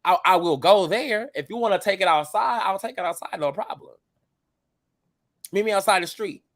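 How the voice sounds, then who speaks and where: A man in his twenties who grew up in the United States, a show guest talking in casual chat, blunt and calm while he cusses. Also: high-pitched, moderate pace, dry recording, clean studio sound. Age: 20s.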